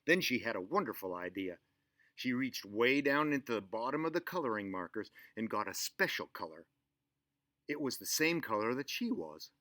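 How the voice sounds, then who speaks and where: Animated man reading a children's story. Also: medium pitch, moderate pace. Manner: expressive, theatrical delivery. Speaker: storyteller and show host.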